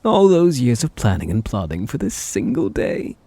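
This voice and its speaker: A man acts out a possessive stalker groom for an ASMR roleplay. His voice is breathy and dark, swooping through sweet endearments that carry quiet menace. It is recorded close and dry. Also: on the low side, huge pitch swings, normal speed, no room sound. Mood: doting, smug. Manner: doting endearments laced with menace. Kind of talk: ASMR roleplay audio drama, addressing the listener.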